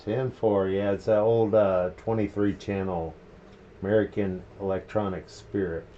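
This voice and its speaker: A man's voice: on the low side, steady tone, unhurried. A man chatting casually as he operates a CB radio. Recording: dull CB stream with loud static noise.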